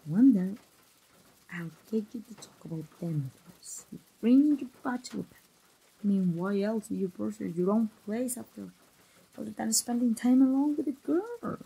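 A young woman voicing an engaged woman confiding in an old friend, in a soft, breathy, close ASMR roleplay style. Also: a little high, expressive, normal speed, exaggerated voice, low-quality recording. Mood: playful, teasing. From Mexico.

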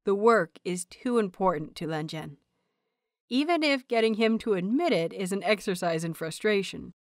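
A woman reading a story aloud as an audiobook narrator, in expressive scripted narration. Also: medium pitch, normal speed, no room sound, clean studio sound. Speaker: audiobook narrator of fan fiction.

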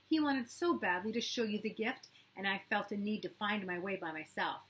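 A woman voices a courteous, gentle man in an audiobook, speaking calmly and with affection. The tone stays bright and clear, unhurried and warm in manner. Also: medium pitch, close to an everyday voice. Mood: kind. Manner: calm, kind and affectionate. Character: gentle, courteous grown man, scarred and blind.

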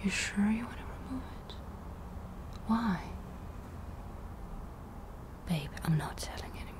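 Female actor as young cyberpunk tattoo technician for ASMR roleplay: breathy whisper, very slow, close on mic. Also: mid-range voice, expressive, lightly acted. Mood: calm, attentive. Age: young adult. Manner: slow, hushed, intimate close-up delivery. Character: soft-spoken cyberpunk tattoo removal technician, young woman, unhurried. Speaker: ASMR performer.

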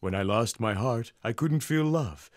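Woman doing a low-voiced, plaintive tin man. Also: lively intonation, a little slow, soft background music. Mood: sorrowful. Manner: plaintive, wistful. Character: sorrowful, gentle rusted tin man, pleading. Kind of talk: children's cartoon acting.

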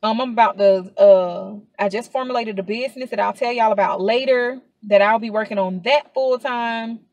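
A woman's voice: fairly high pitch, normal speed, darkish tone, no room sound, lo-fi sound. Woman in her 30s, chatty vlogger, rambling with ums, dry car interior, dashboard camera. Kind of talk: casual chat to camera. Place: parked car.

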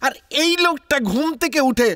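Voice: sits high, moderate pace, background noise, lightly acted. Brazen young male village thief played by an actor. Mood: brazen, defiant. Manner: brazen, defiant. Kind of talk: cartoon dialogue. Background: music.

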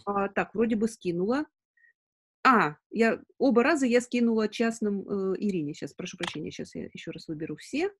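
A woman in her forties hosts an informal webinar over a video call, answering questions in a halting way as she thinks aloud. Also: mid-range voice, breathy edge. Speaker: webinar host. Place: indoors, room at home. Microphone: video call on a laptop.

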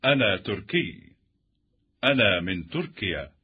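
A man's voice: lowish voice, very animated, unhurried, husky. A man reading the lines of a scripted textbook dialogue. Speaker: textbook audio speaker. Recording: dull, muffled lo-fi audio.

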